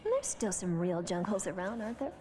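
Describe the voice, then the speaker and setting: A woman voicing a concerned, down-to-earth teenage classmate in an animated series. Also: medium pitch, fairly quick, background noise, natural voice. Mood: teasing. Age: young adult. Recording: music behind the voice.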